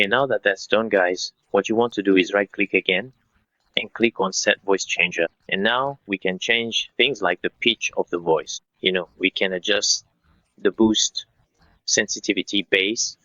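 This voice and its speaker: A man in his thirties narrating a step-by-step software tutorial in an instructional way, speaking directly to viewers. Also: lowish voice, dry recording, lo-fi sound. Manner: instructional, addresses viewers as guys.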